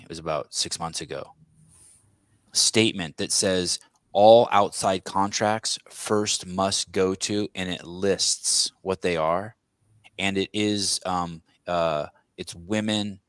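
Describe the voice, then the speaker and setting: A man hosts a podcast conversation in a deep, low voice, close and dry on the mic. He grew up speaking Armenian and talks in a blunt, animated way, stumbling over restarts as he builds his point. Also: no room sound. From United States. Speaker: podcast host. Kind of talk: conversational interview.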